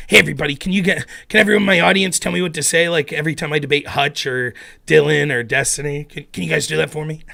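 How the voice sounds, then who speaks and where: Lively, grumbling livestreamer man. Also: on the high side, gravelly. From Pennsylvania. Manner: grumbling to himself, mild cursing, then opinionated. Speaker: political livestreamer. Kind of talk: casual livestream monologue. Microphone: headset.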